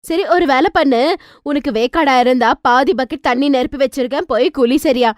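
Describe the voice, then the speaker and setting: A woman acts out a stingy young mother from a cartoon moral tale. Her voice is very high and shrill, argumentative and full of exclamations. The sound is dry and close. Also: a little fast, no room sound, close to an everyday voice. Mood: indignant scolding. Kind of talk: dubbed cartoon moral story.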